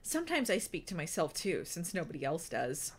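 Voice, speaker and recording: A woman playing a shy, teary girl in a storybook. She speaks higher and a little louder than the reader, hesitant and shaky, with a faintly husky edge. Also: wildly swooping pitch, brisk, exaggerated voice. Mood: timid, teary. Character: timid, tearful shy young girl.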